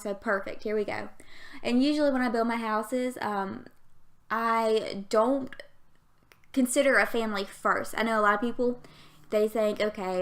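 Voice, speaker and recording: A young woman in her twenties with a Southern US accent and a girlish voice that sits at an ordinary pitch. She chats in a loose, rambling way, giggling often and tripping over her words. It sounds like casual talk over a game recording. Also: fairly bright tone. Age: 20s. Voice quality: youthful, girlish voice. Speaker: YouTube gaming creator. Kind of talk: casual chat over a game speed build.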